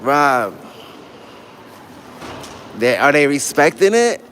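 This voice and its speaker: A man's voice: fairly high pitch, big pitch swings, slow pace, a bit bright. A man livestreaming casually from a public building, chatting to viewers in blunt, slangy, profane talk. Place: public library building, on the move. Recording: noisy live handheld stream.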